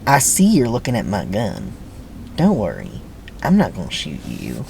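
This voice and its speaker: A man's voice: fairly low pitch, huge pitch swings, vocal fry, a little mellow, slight background noise, voice pushed down, heavily acted. Man acting a taunting camp boss, wildly swooping voice. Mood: menacing, sardonic. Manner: drawn-out, taunting, swooping commands. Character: menacing forty-year-old male prison camp boss, taunting and stern.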